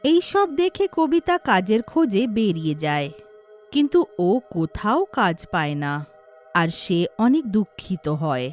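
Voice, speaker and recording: A woman narrates a scripted moral story. Her mid-range voice moves up and down in an animated way, with faint music playing behind it. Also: medium pitch, a little slow.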